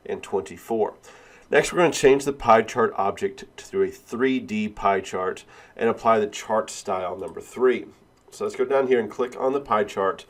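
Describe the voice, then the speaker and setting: Man with a cold, fairly low voice, sniffling, unhurried step-by-step tutorial narration. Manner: step-by-step, with frequent "uh" and "all right". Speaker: instructor. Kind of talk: screen-recorded software tutorial.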